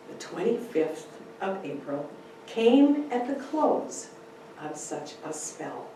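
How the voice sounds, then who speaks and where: Woman, dramatic reading, highish voice, deliberate pauses, strongly reverberant distant recording. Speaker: speaker giving a dramatic reading. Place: large echoey indoor room. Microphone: distant camera microphone. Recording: very echoey room, distant, faint noise.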